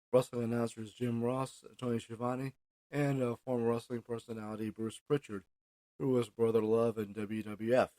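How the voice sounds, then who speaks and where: A middle-aged man with an American accent hosts a casual solo podcast, rambling along in a halting way. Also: medium pitch, mellow, dry recording, low-quality recording. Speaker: podcast host.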